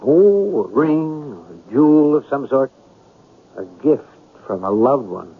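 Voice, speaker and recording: Slow, animated male writer-sleuth. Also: mid-range voice, slightly rough, heavily acted. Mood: speculating. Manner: musing, deliberate, theatrical. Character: inquisitive, imaginative male writer turned amateur sleuth. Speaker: actor in a radio drama. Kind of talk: 1970s radio drama acting. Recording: muffled, lo-fi old radio recording.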